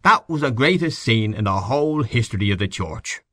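A man voicing a thick-set, authoritative Irish gentleman who holds forth emphatically in an audiobook. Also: lively intonation, moderate pace, dry recording, studio quality, close to an everyday voice. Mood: holding forth. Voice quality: deep, raucous. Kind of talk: audiobook character acting.

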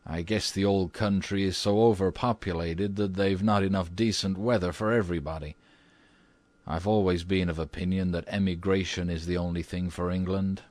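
Man voicing an unflappable, deadpan diplomat. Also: deep voice, normal speed, no room sound, close to an everyday voice. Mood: calm, opinionated. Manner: calm, deadpan. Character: calm, practical American minister, unflappable husband and father. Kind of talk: audiobook character voice.

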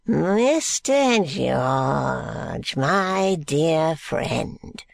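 A reader voicing a frail, wheezing old man in a storybook, slow and fawning. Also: mid-range voice, sing-song, gravelly, no echo, studio quality, heavily acted. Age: over 80. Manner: fawning, ingratiating.

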